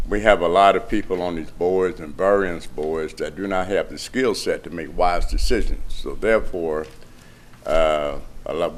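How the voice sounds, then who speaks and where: Deep-voiced man, direct and opinionated. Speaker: public commenter. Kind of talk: public comment at a county meeting. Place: county commission meeting room.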